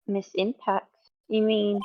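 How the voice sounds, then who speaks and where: A woman's voice: medium pitch, completely flat delivery, phone-quality sound, a little hiss or hum, close to an everyday voice. A woman voicing a sweet, distraught little girl who is easily alarmed and exclaims in a game fan dub. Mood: puzzled. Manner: gasping, exclaiming, halting when upset.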